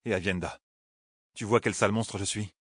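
A man's voice: on the low side, fairly even intonation, normal speed, fairly bright tone, dry recording, clean studio sound. A man voicing a brusque, troubled young hero in a fantasy audiobook, blunt and exasperated. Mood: brusque confession. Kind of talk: audiobook character dialogue.

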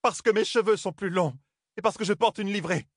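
A man voicing a fiery older woman warrior serving as a maid, shrill and commanding. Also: a little fast, fairly bright tone, no room sound, clean studio sound. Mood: fierce.

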